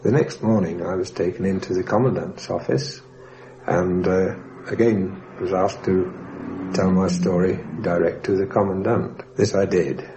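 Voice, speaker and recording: A man giving a measured, orderly first-person account of his time as an airman and prisoner of war for a documentary. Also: deep voice, steady tone. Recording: faint hum, 1960s radio documentary recording.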